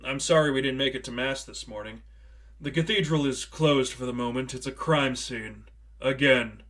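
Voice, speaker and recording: A man's voice: mid-range voice, moderate pace, a bit bright, natural voice. A man playing a kindly, anguished priest and uncle in a story reading, earnest and emphatic, protective and apologetic.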